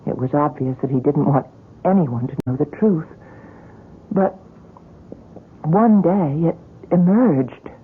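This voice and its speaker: A gentle, concerned young woman in an old radio mystery. Her husky voice is earnest and hesitant, with wide dramatic swings, on a muffled recording. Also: sits low, expressive, slow pace, pauses often, full character voice. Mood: reflective.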